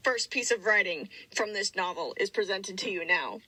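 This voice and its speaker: Woman reading a story all fake-epic and sarcastic, sharp voice, dry close sound. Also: mid-range voice, normal speed, husky, no echo, rough recording. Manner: sarcastic, mock-grandiose. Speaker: reader of own childhood fanfiction. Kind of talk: mock-dramatic reading with casual commentary.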